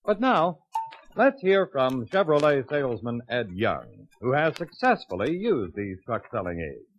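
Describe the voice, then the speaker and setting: A man's voice: mid-range voice, big pitch swings, a little slow, dull audio. An American man reading a scripted 1950s sales training narration with formal, emphatic announcer delivery on an old filmstrip soundtrack. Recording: noisy, clicky 1951 filmstrip soundtrack.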